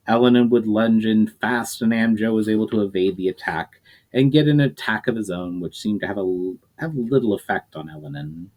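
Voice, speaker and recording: Lowish-voiced man narrating his story. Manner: steady, unhurried storytelling with occasional slips. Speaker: author and narrator. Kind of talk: dramatic reading of his own novel.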